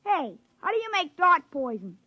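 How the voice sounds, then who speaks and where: Shrill bratty little boy, vintage radio. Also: very animated, normal speed, a little hiss or hum. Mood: pestering. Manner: insolent, pestering. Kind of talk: 1950s radio drama acting. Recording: dull vintage radio recording.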